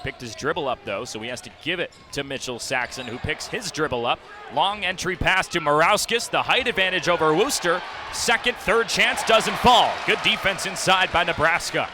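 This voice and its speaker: Young man, slightly high voice, radio basketball play-by-play, descriptive excitable delivery, noisy arena, bouncing balls. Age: young adult. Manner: rapid descriptive calls, rising to excited shouts on big plays. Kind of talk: live basketball play-by-play commentary. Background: loud game noise, basketball bounces.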